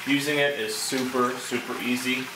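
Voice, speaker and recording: A man's voice: medium pitch, steady tone, normal speed. Echoey, distant man reviewing a product. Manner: explanatory, step-by-step, conversational. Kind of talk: casual product demonstration and review. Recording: echoey, distant mic, clear background noise.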